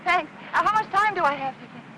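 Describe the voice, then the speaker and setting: A woman's voice: very high-pitched, lively intonation, fast, sharp tone, slight background noise, heavily acted, low-quality recording. A woman acting an anxious, desperate housewife in a film noir, pleading hesitantly on a vintage soundtrack. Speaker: film actress.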